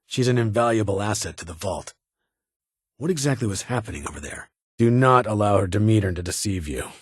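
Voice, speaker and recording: An actor playing a stern, guarded vault security chief in a video game, speaking in formal, clipped, measured phrases with a husky voice. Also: male voice, lowish voice, expressive, no echo, studio quality. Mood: dry, measured. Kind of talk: scripted game character dialogue.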